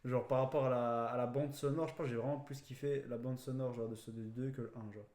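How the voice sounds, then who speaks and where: A man's voice: medium pitch, steady tone, quick pace, a little mellow. A man co-hosting a podcast, chatting casually in slangy French mixed with English words. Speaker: podcast co-host. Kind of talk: casual film chat among friends. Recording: roomy, echoing room sound.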